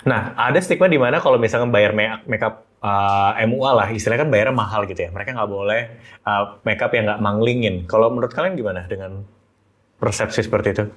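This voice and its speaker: A man hosting a casual podcast chat who asks quick, curious follow-up questions. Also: lowish voice, highs cut off. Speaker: podcast host.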